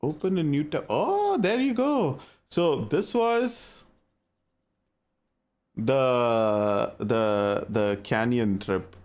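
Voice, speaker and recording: A young man with an Indian accent talks to his stream chat in a loose, casual way and swears easily. His voice sits at a middle pitch but jumps around a lot as he reacts. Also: very animated, drawn-out words, darkish tone.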